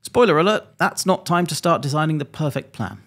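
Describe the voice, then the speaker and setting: Gravelly-voiced man presenting a scripted explainer. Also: fairly high pitch, lively intonation, a little fast, a bit bright. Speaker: airline pilot and presenter. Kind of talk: scripted explainer narration to camera. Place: small home studio indoors. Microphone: close desk microphone.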